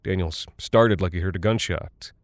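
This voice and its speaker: Man acting as American cargo pilot, lowish voice, weary, rattled, chatty, confiding, halting, audio-drama log, very dry recording. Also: no room sound, close to an everyday voice. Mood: uneasy, confiding. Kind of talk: first-person audio drama monologue, audio log.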